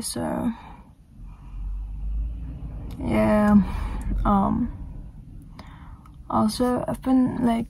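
Young female vlogger, raspy with some fry, flat casual delivery, phone mic. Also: fairly high pitch, no room sound. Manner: chatty, rambling with many fillers. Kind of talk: casual vlog chat. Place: inside a car. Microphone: phone camera at arm's length.

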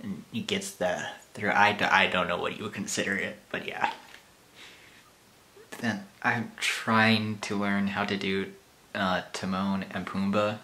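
A man's voice: low voice, flat delivery, unhurried, pushed and bright, slight background noise. A young man casually chatting to camera in a rambling, self-deprecating way, in a small bedroom. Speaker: YouTuber. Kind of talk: casual vlog chat.

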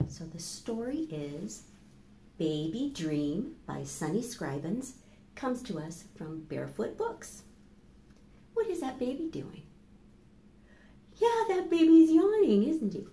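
Slow, mellow middle-aged woman reading to children. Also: fairly high pitch, sing-song. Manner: slow, deliberate, addressing young children with questions. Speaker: library storytime host. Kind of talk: children's storytime, reading picture books aloud. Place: small plain indoor room. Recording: echoey room with faint background noise.

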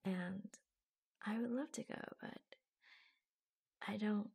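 A woman acts out a reassuring, affectionate secretary in an ASMR roleplay. Her voice is soft and breathy, often falling to a whisper, with a crackle of vocal fry. She takes her time, hesitating gently between short phrases. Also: mid-range voice, takes it slowly, heavily acted. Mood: tender, reluctant.

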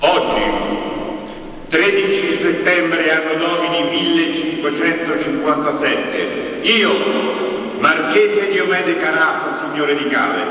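Man as Renaissance marquis: slow formal proclamation, bright tone, distant in a reverberant church. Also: fairly high pitch, slight background noise. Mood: ceremonial. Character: proud Renaissance marquis, a noble lord. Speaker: historical reenactor. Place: large church interior. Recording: echoey church from far off.

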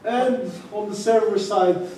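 A young man with a Romanian accent giving a tech meetup talk in a large room, halting with many fillers and restarts. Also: high-pitched, warm tone, a little hiss or hum. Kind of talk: conference talk. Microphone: far camera. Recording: echoey room from far off.

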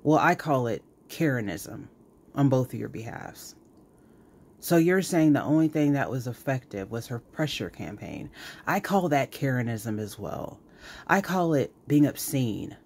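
A man's voice: medium pitch, sing-song, unhurried, gravelly, darkish tone, slightly rough. A man delivers reaction commentary as the host of a show. He speaks emphatically and scolds as he goes, hammering points home through repetition. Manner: scolding, emphatic, repeats words for effect.

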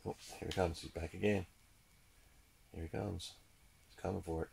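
A man giving casual running commentary as he fishes, muttering and repeating himself in a husky, gravelly voice. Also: deep voice, steady tone, normal speed, darkish tone. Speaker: ice angler demonstrating a fish finder. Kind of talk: excited casual running commentary while fishing.

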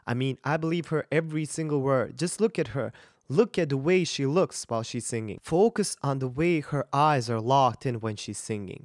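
A man in his thirties giving casual, expressive reaction commentary as a vocal coach, speaking emphatically into a close studio mic. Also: medium pitch, no room sound. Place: small indoor home studio. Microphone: large studio mic up close, headphones on.

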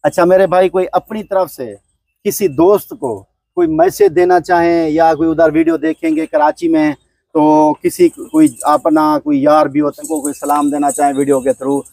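A man's voice: a little high, normal speed, dark tone, dry recording. A man interviewing a customer on his goat farm, friendly and polite. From Pakistan. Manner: friendly, polite, repeats "my brother". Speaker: goat farm owner and host. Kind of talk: casual interview.

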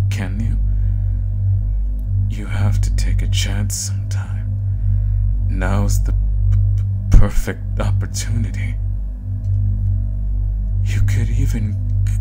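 Brief: man playing a menacing male shadow monster for an ASMR audio drama, deep and very slow, whispery and stammering. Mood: coaxing. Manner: whispery, coaxing, stutters on words. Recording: loud music underneath.

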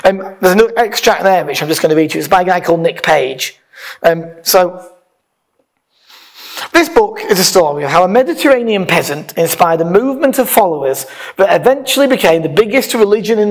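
A man, a pastor giving an informal, conversational sermon from a church stage with emphatic stresses. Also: sits high. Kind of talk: informal sermon, partly reading aloud. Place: church hall, speaking from a lectern on stage.

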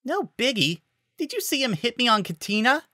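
Casting: man as biting female cat mercenary, raised pitch, sarcastic and aggressive, fan fiction drama. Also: sing-song, moderate pace, pushed and bright, no echo, clean studio sound, heavily acted. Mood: scornful. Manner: biting, scornful.

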